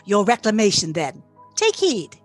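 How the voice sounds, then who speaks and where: A woman playing an ageless spirit, gentle yet commanding, with stately, solemn delivery in a radio play. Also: medium pitch, huge pitch swings, a little slow, faint music behind. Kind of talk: theatrical radio play acting.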